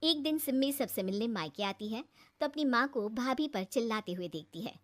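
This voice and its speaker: A woman with a high-pitched voice narrates a cartoon tale. She tells it as a scripted storyteller, line by line. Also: expressive, a little fast, a bit bright.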